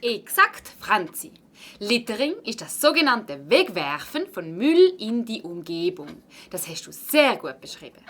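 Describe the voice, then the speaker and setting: A woman playing a brisk, encouraging primary school teacher in a children's radio play, instructing a class in Swiss German. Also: medium pitch, big pitch swings, a bit bright. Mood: encouraging, explanatory. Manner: instructive, orderly, addressing a class.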